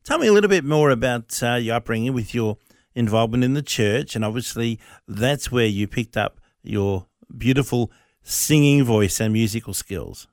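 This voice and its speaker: A man's voice: mid-range voice, normal speed, no room sound, clean studio sound. A man hosting a radio interview in a chatty, friendly way. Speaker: radio show producer and stand-in host.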